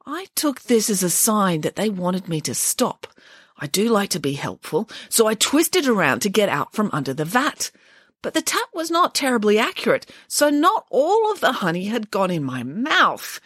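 Woman playing a huge sensitive male bear, tearful wailing, lively swooping pitch, dry sound. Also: moderate pace, breathy edge, dry recording. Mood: earnest. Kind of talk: storybook character voice.

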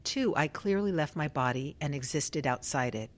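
An actress playing a formal, probing government researcher in a radio drama, with clipped, measured questioning. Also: female voice, sits low, expressive. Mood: clinical, procedural. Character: controlled, probing female government researcher running a clinical survey.

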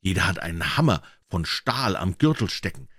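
A man playing a bold, animated young drummer. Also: sits low, normal speed, husky, no room sound, clean studio sound, full character voice. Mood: cocky, cunning. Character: bold, fearless young drummer, cunning and kind. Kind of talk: fairy tale character voice.

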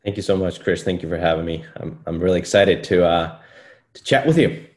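A man's voice: deep voice, steady tone, fairly quick. A man in his late thirties who grew up in Iceland and speaks English as a second language, a golf coach chatting in an interview with many fillers and restarts. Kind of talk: casual interview conversation.

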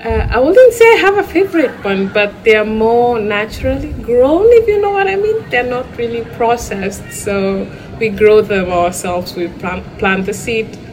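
A woman from Kenya chatting as a guest in a pub, relaxed and a bit hesitant. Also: fairly high pitch, moderate pace. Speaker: podcast guest. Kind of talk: casual interview chat. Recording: noisy pub background.

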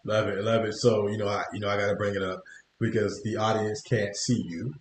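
A man's voice: fairly low pitch, flat delivery, fairly quick, reverberant, a little hiss or hum. A man hosting a podcast interview in a friendly, casual way, leaning on "you know" as he talks. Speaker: podcast host. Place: podcast studio.

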